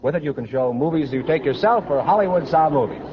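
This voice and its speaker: A man's voice: a little high, lively intonation, fast talker, noisy background. An American man announcing on a 1940s radio quiz show. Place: radio studio. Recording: muffled 1940s radio broadcast.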